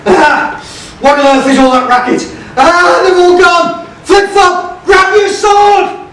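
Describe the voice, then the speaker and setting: A panto baddie sheriff, pompous and gloaty, slow high voice, super echoey distant recording. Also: male voice, steady tone, fairly bright tone, heavily acted. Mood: angry, blustering. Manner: theatrical, bombastic, exclamatory. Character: blustering, gloating villainous pantomime sheriff, cowardly when beaten. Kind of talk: stage pantomime villain acting. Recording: very echoey hall, distant mic, faint noise.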